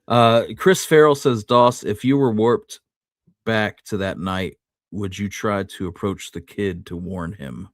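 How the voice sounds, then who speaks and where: A man co-hosting a casual conversation, plainspoken and informal, with a slightly halting delivery. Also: lowish voice, no room sound, clean studio sound. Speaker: show co-host.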